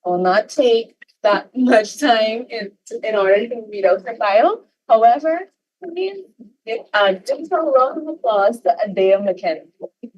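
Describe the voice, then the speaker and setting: A woman's voice: on the high side, expressive, unhurried, a little mellow, highs cut off. A young woman with a Guyanese accent moderating an online session, giving a formal introduction of a speaker. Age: young adult.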